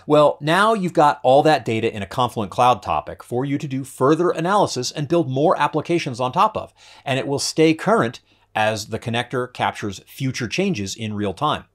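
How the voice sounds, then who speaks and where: A man in his forties gives a scripted tech demo with wry, playful asides, speaking to camera from a home study. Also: fairly low pitch. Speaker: developer advocate presenting a product demo. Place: home study with bookshelves, indoors.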